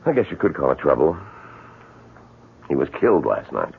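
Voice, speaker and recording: An actor in a 1970s radio drama playing a grim, matter-of-fact state police sergeant, in muffled broadcast sound. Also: male voice, very deep voice, big pitch swings, normal speed, gravelly, husky, heavily acted. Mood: grave, blunt.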